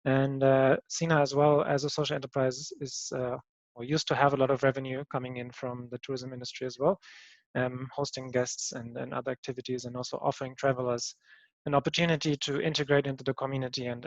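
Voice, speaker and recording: A man from Germany introducing himself on a video call in a plain, measured way. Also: medium pitch, level tone, gravelly, no room sound. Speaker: panelist, social entrepreneur. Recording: dull dry call audio.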